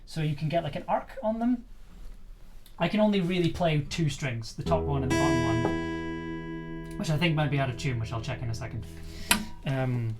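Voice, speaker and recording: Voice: medium pitch, moderate pace, long pauses, loud music behind the voice. A livestream host chatting casually while demonstrating, with a hesitant, self-deprecating manner. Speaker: livestream host, audio drama producer and musician.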